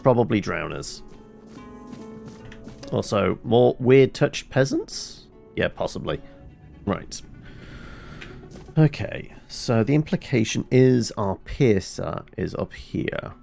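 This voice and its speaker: A man streams a game with wry, rambling commentary. His voice is fairly low with a creaky edge, and it moves around a lot in pitch as he thinks aloud. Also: expressive, slow pace, pauses often, darkish tone, soft background music.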